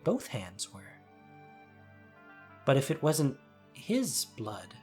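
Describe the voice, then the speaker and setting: Guy narrating a fantasy audio drama, slow deliberate suspenseful phrasing, soft music behind. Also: mid-range voice, wildly swooping pitch.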